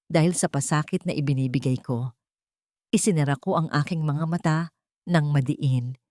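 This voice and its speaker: A woman's voice: lowish voice, mellow, dry recording, studio quality. A woman reading a dramatic scripted story as its narrator.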